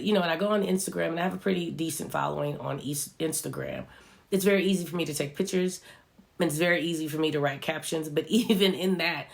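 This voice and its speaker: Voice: medium pitch, vocal fry. A vlogger with a stuffy, congested voice, giving an earnest, reflective motivational talk straight to viewers. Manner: earnest, speaks straight to viewers, fillers like "right" and "you know". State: stuffy from a cold. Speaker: social media vlogger.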